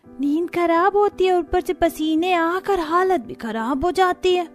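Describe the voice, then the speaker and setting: A woman's voice: very high-pitched, measured pace, soft background music. A woman playing a mild, accepting young village woman in cartoon dialogue. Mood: resigned.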